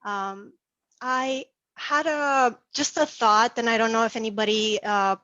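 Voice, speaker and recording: A woman's voice: fairly high pitch, fairly even intonation, a little slow, bright, forceful tone, no echo. A woman asks a panel a question, searching for her words with earnest restarts. The video-call audio is dull and lo-fi, with no room sound at all. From Romania. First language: Romanian. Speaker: audience member asking a question.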